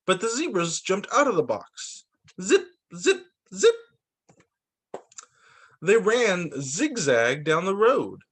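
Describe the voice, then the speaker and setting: A man teacher reading picture books aloud to young children, with deliberate, sing-song phrasing. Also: high voice, sing-song, a bit bright.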